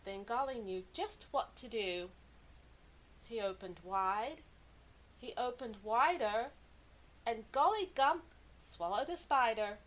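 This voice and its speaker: Slow, high-voiced woman storyteller with dramatic emphasis. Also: animated, a bit bright, low-quality recording. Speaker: children's read-aloud storyteller. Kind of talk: expressive picture-book narration for kids.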